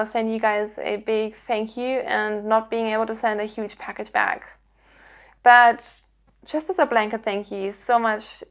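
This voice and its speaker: A woman hosting her own podcast, chatting casually to her audience in a rambly, earnest way. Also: a little high. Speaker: podcast host. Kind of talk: casual chatty vlog to viewers.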